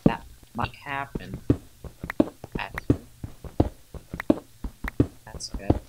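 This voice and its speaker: A laid-back man chats with his teammates in a dry way, drawing his words out slowly. A loud hiss and keyboard clicks run through the recording. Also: lowish voice. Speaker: gamer teammate. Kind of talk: casual team chat while playing. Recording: loud background noise with clicks.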